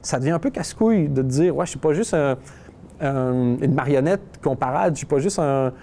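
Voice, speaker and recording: A man's voice: fairly high pitch, animated, brisk, mellow, slight background noise. A young man, chatting casually and wryly in an interview, often restarting his sentences. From Quebec. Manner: conversational, wry, restarts often.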